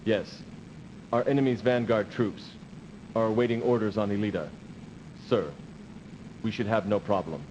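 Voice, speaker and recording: A man's voice: lowish voice, a little mellow, natural voice. Man as a formal officer, muffled game audio. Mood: formal, serious. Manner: formal, measured. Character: dutiful, cautious male officer briefing his space commander. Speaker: military adviser in a video game. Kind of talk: 1990s video game cut-scene acting. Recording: dull, noisy old CD game audio.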